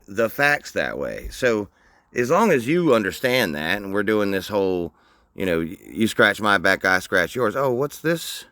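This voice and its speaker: A man's voice: fairly low pitch, a bit bright, no echo. A man hosting a commentary show, mocking the clips he plays with dry sarcasm. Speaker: commentary host.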